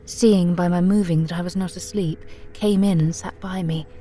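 An actress playing a frightened, weakened young woman, narrating in a breathy, dramatic whisper in an audio drama. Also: fairly low pitch, steady tone, normal speed, dark tone, faint music behind, close to an everyday voice. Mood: fearful. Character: frightened, weakened young woman writing her last record.

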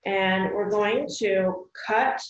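A woman's voice: mid-range voice, very slow, roomy sound, muffled recording, a little hiss or hum. An American woman in her thirties giving plain, simple step-by-step craft instructions as host of a children's video, recorded at home across a table. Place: small room at home, indoors.

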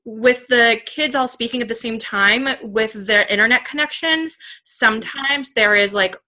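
A woman's voice: fairly high pitch, a little slow, sharp tone. A woman drama teacher asking a question conversationally on a video call.

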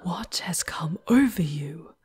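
A woman voicing an ancient, caring female demon guide in an ASMR fantasy roleplay, speaking formally and softly, with a breathy, theatrical delivery. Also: fairly low pitch, expressive, slow, exaggerated voice. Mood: alarmed, pleading. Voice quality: soft, breathy. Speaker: voice performer in audio roleplay.

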